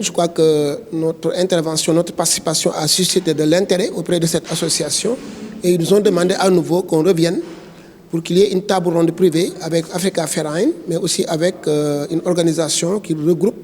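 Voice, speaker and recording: A man's voice: a little high, fairly even intonation, a little fast, a little mellow. A man with a Senegalese accent giving a spoken statement to the press as spokesman for a network, leaning on repeated filler phrases. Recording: reverberant hall.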